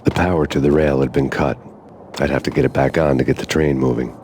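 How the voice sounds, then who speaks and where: A very deep, low-pitched voice of a grim hardboiled cop, talking in flat, deadpan noir narration. Game ambience hums clearly behind him. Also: male voice, brisk, a little hiss or hum. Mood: brooding.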